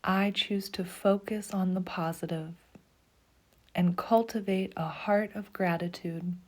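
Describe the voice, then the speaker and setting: A woman leading a guided meditation in a soft, slow, soothing voice, often dropping to a breathy half-whisper. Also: mid-range voice. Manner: slow, soothing, even pacing.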